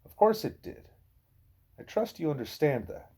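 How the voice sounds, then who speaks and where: A man voicing a wise, patient gorilla teacher who lectures in a deliberate, formal way. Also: fairly high pitch, sing-song, dark tone, husky, pitched above the performer's own voice, heavily acted. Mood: patient lecturing.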